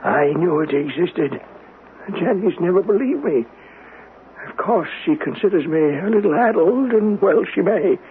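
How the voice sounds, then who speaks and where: Wistful old man in a radio play, highish voice, halting old-fashioned talk, tinny noisy broadcast sound. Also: animated. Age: older. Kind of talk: radio drama acting.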